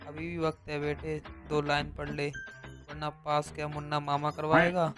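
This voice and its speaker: A man acts out a male asylum patient in a cartoon, reciting mock-solemn verse. The voice is completely flat and slightly high, with music clearly behind it. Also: lightly acted. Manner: reciting verse in measured lines. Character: dreary lovelorn male mental patient reciting comic verse. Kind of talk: cartoon horror story voice acting.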